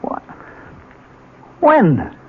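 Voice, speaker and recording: An actor with an American accent playing a middle-aged police inspector, loyal, disbelieving and urgent, in a 1970s radio drama. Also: male voice, very slow. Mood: pressing, incredulous. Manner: urgent, pleading, questioning. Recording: muffled vintage radio broadcast.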